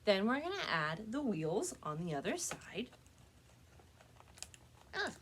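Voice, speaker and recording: A woman's voice: medium pitch, very animated, slow, fairly bright tone, a little hiss or hum. A woman presenting a step-by-step craft demonstration for children as a librarian, speaking to her young viewers in a friendly, direct way.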